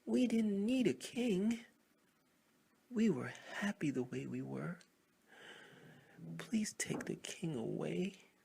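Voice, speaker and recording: A man voicing excitable, emphatic frogs in a storybook tale. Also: sits high, slow, vocal fry, long pauses, husky, voice pushed up, heavily acted. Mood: panicked, wailing. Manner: exclamatory, emphatic. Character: excitable frogs of a pond, pleading and shouting. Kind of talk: storybook character voices.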